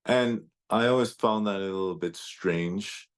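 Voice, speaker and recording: A man whose first language is German speaks over a video call in a very low, deep voice. He talks slowly and thoughtfully, pausing and restarting as he recalls his past. The delivery is reflective and unhurried. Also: smooth. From Turks and Caicos Islands and Germany. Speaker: guest speaker. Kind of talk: personal storytelling.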